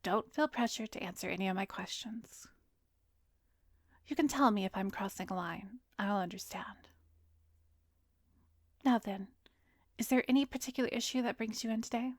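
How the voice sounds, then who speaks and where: Actress as soothing female therapist for ASMR roleplay: mid-range voice, soft and measured, drifting into whispers, very dry close-mic sound. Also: mid-range voice, no room sound, close to an everyday voice. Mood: calm, reassuring. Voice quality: soft, often slipping into breathy whisper. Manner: measured, gentle, professional, probing. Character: composed, soothing female therapist, secretly an arrogant vampire. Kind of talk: ASMR roleplay, addressed to the listener. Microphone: close studio microphone, dry.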